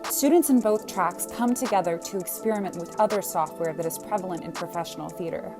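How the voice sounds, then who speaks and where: A woman with a fairly low voice reads scripted promotional narration. Her delivery is polished and informational, and music plays clearly behind her. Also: fairly quick.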